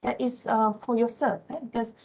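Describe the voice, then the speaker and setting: A woman's voice: a little high, flat delivery, normal speed, darkish tone. A woman with a Malaysian accent, Malay her first language, lectures students in English. She talks through her points with frequent "uh"s and keeps asking "right?" and "yeah?" to check that the class follows. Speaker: university lecturer.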